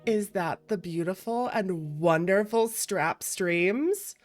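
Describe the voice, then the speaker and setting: A woman hosting a livestream, chatting casually and playfully with viewers in an expressive way over background music. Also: medium pitch, takes it slowly. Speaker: streamer, variety VTuber and host.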